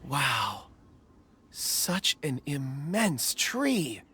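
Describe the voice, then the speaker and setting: A woman voicing a reserved, thoughtful stone expert in a video game, with a deliberate, formal, pondering manner. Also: very deep voice, sing-song, pushed and bright, slight background noise, exaggerated voice. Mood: pensive. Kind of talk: video game character acting.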